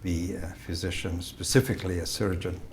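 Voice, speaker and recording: A man in his seventies from Hungary, a native Hungarian speaker, telling his life story in a slow, halting way with many fillers and restarts. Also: deep voice, level tone, creaky, a little hiss or hum. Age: 70s. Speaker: interview guest, vascular surgeon. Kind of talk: recorded oral-history interview.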